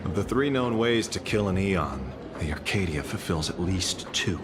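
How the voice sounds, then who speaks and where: An actor playing a calm, calculating rebel leader in video game story dialogue, speaking in a measured, knowing way over music. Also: male voice, low-pitched, noisy background. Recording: loud music under the voice.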